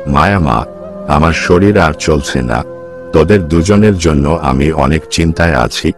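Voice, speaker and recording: A man's voice: extremely low pitch, animated, measured pace, exaggerated voice. A man voicing a weak, dying old village father in a cartoon folk tale, tender and weary as he gives advice. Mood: weary, worried. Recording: music bed behind the voice.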